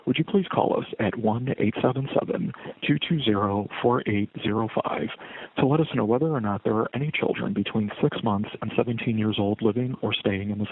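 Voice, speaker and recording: An American man reading a formal, measured scripted voicemail announcement as a survey caller. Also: lowish voice. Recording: tinny phone voicemail playback.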